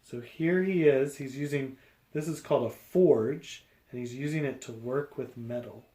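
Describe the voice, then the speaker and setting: A man reading a picture book aloud to young children, explaining deliberately phrase by phrase. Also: mid-range voice, mellow, roomy sound.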